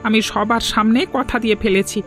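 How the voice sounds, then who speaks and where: A man's voice: sits high, fairly even intonation, a little fast, a bit bright. A man plays a young village farmer. He is an earnest younger brother who protests his case in a cartoon, with faint music underneath. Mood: earnest, hesitant. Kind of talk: moral-story cartoon dubbing.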